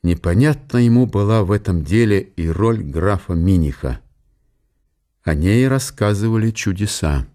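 A man's voice: fairly low pitch, expressive, moderate pace, dark tone. A man narrating a historical radio play as scripted literary storytelling.

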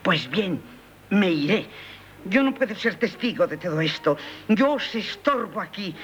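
A woman's voice: mid-range voice, very animated, unhurried, a little hiss or hum. An actress with a Spain Spanish accent playing an indignant, domineering older mother in a 1970s radio drama. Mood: outraged. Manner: imperious, reproachful, theatrical. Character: indignant, domineering older mother disapproving of her son-in-law. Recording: muffled 1978 radio broadcast recording.

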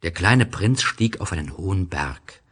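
A man's voice: low-pitched, animated, unhurried, a bit bright, breathy edge. A man narrating a scripted storybook tale.

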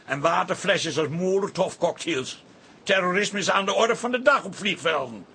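A man's voice: high-pitched, normal speed, vocal fry, breathy edge, full character voice. An actor playing a nervy, complaining, paranoid man on holiday in a radio comedy. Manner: complaining, excitable. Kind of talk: Dutch radio comedy drama acting.

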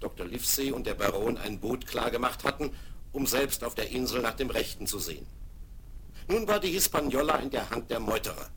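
A man narrating a 1970s radio play for children in a measured, even way, from an old vinyl record. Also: medium pitch, a little hiss or hum. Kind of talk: scripted storybook narration.

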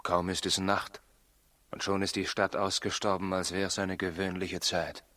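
An actor playing a forthright travelling stranger on a quest in a 1960s German radio play. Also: male voice, deep voice, level tone, unhurried, sharp tone, dry recording. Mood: puzzled. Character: forthright travelling stranger seeking a newborn king.

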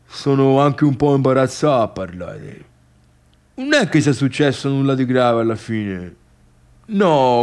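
An actor playing a chatty Tuscan villager with a strong local way of talking, grumbling and exclaiming through a theatrical monologue. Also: male voice, mid-range voice, a little mellow, lightly acted. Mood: grumbling, offended. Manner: colloquial, grumbling, exclamatory storytelling. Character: chatty, gossipy Tuscan villager recounting a disastrous party. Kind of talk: theatrical comic monologue for radio drama.

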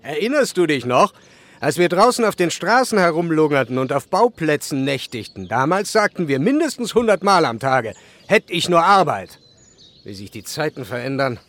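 An actor playing a chatty, nostalgic tavern companion in a radio play. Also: male voice, fairly high pitch, very animated, moderate pace, fairly bright tone.

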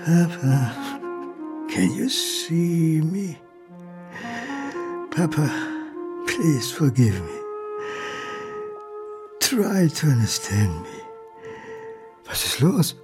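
An actor playing a lonely, abandoned son in a radio play, speaking in halting, broken phrases of hurt and pleading. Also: male voice, a little high, big pitch swings, exaggerated voice. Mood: desperate, pleading. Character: wounded, abandoned illegitimate son, lonely grown man, pleading. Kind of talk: dramatic monologue, radio play. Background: music.

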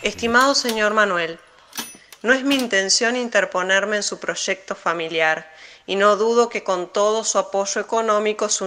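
A woman's voice: mid-range voice, bright, forceful tone, close to an everyday voice. A woman playing a principled, firm schoolteacher reading a formal letter in an audio drama.

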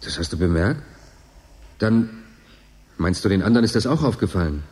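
A man's voice: lowish voice, animated, normal speed, darkish tone, breathy edge. An actor playing a lonely, teasing male convict in a German radio drama, talking in an intimate, musing way. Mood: uneasy, confessing. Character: Tonio, lonely male station convict, yearning, teasing, bitter.

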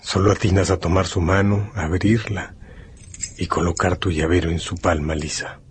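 A man narrating a scripted radio drama in a measured, solemn storytelling manner. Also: sits low, fairly even intonation, slight background noise.

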